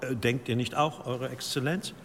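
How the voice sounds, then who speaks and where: Actor as a solemn monk in a reverberant hall. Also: male voice, mid-range voice, fairly even intonation, moderate pace, a bit bright, natural voice. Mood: grave, solemn. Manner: formal, grave, unctuous toward superiors. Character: solemn, deferential monk preaching of a demon. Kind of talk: radio drama oratory. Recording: long church-like reverb, faint noise.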